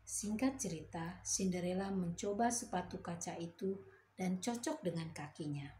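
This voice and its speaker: Gentle woman telling a children's story. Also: lowish voice, roomy sound, slight background noise. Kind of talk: bedtime story narration.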